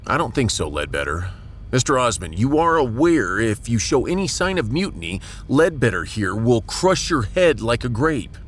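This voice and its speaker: A man's voice: mid-range voice, sing-song, moderate pace, a little hiss or hum, close to an everyday voice. A man playing a firm, wry starship captain with a dry, authoritative manner in a sci-fi audio drama. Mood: wry, commanding.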